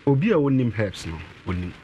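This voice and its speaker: A Ghanaian man in his thirties, an herbal medicine representative, explaining with animated gestures in a studio interview. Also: medium pitch, fast, slight background noise. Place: TV and radio studio.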